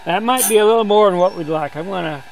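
An older man giving a relaxed, step-by-step outdoor demonstration as a sawmill instructor, talking in a plain, easygoing way. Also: high voice, fast talker, a little hiss or hum, poor audio. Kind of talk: hands-on demonstration. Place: outdoors on a grassy lawn.

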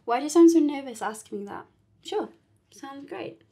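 A woman's voice: high voice, animated, moderate pace, warm tone. A woman playing an easygoing, politely evasive busy woman in a naturalistic drama, with a light, conversational delivery. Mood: playful, teasing. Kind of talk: naturalistic drama phone dialogue.

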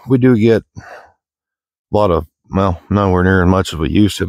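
A man's voice: low voice, darkish tone, no echo. A middle-aged man with an American accent chatting casually while walking outdoors in the woods, in a folksy, rambling, plain-spoken way. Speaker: outdoor vlogger. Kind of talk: casual walk-and-talk chat.

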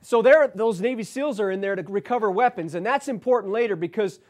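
A man with an American accent and a notably high-pitched voice delivers political commentary. He reads news items aloud and breaks off into emphatic, halting asides, often repeating himself. He sounds like he is in his forties. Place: indoor studio set, seated at a desk.

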